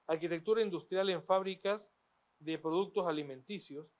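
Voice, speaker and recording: A middle-aged man leads a university talk, his voice sitting a little high. He reads guest introductions formally and paces his questions with frequent pauses, speaking into a handheld mic in a small studio. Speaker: moderator of a university panel. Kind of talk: reading guest introductions, then moderating. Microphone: handheld microphone.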